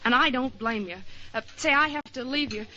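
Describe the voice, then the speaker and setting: A high, sharp-edged voice in vintage radio style. a naive, gossipy nightclub dancer with slangy, unschooled speech and an earnest way of talking. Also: female voice, normal speed, muffled recording. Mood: fussing, eager. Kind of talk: 1940s radio drama acting.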